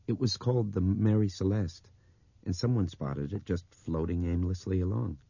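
A man's voice: low-pitched, normal speed, gravelly, dark tone, breathy edge, dry recording, dull audio, heavily acted. A man voicing an earnest, thoughtful young man who speaks with hesitant, eager wonder in an audiobook. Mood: slow wonder.

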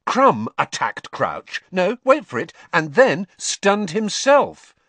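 Guy doing excitable teen boy, casual slangy, bouncy pitch, audiobook. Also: moderate pace, no echo, exaggerated voice. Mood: excited. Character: eager, excitable teenage boy.

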